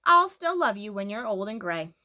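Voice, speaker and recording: A woman's voice: mid-range voice, big pitch swings, normal speed, pushed and bright. A woman voicing a teasing, absent-minded but reassuring storybook father in a matter-of-fact way. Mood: playful teasing.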